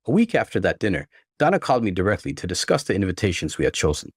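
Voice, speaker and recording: Male narrator, low voice, animated pitch movement, scripted storytelling, dry close sound. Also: quick pace, husky, no room sound. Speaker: narrator reading online stories.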